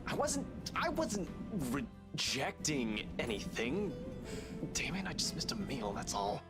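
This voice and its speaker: A man's voice: mid-range voice, huge pitch swings, slow pace. A man playing a composed but curt young man, easily flustered, in a visual novel game. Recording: game music behind the voice.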